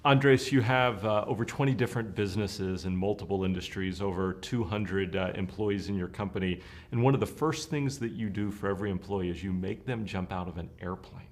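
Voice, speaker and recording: Low-voiced male interview host. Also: a little fast. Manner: smooth, prepared questions with frequent "uh" fillers. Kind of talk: hosted interview.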